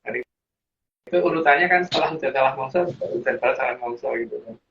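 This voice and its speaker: A man with a lilt talks at an everyday mid pitch, explaining at length. He loops back and restarts, leaning on fillers as he goes. The audio is dull and lo-fi, with a faint hiss underneath. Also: fast. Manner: rambling, restarts with frequent fillers. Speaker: guest explaining teachings. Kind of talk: casual explanatory chat.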